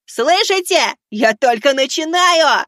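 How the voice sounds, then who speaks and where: Shrill, taunting cruel little boy. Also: expressive, bright, forceful tone, no echo, clean studio sound, full character voice. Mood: menacing glee. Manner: sing-song taunting, drawn-out. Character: cruel mocking boy bully, sweetly taunting, menacing predator.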